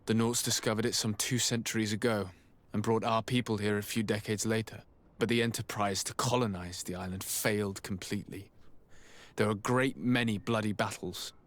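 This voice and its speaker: An actor playing a loyal, resolute young diplomat in a video game, speaking formally and earnestly. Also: male voice, lowish voice, steady tone, moderate pace, close to an everyday voice. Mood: grave recounting. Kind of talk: dramatic video game acting.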